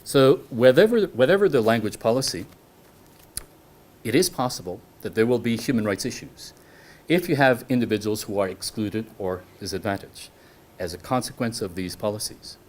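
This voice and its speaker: Man, first language French, university lecture, measured, self-correcting, asks the audience questions. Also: on the low side, darkish tone. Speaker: guest lecturer. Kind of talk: academic lecture to a live audience. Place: lecture hall.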